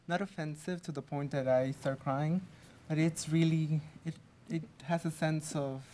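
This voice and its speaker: A young man from Mexico, a native Spanish speaker and student, giving a personal account in a deliberate, halting way. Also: fairly high pitch, steady tone, dark tone, a little hiss or hum. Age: young adult. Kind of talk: personal account in a group discussion.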